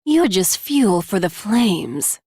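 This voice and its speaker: A woman's voice: medium pitch, sing-song, takes it slowly, a little mellow, slight background noise. A woman voicing a confident, menacing fire sorceress in a video game, with a smouldering, taunting manner. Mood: taunting menace. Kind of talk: video game hero voice lines. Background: music.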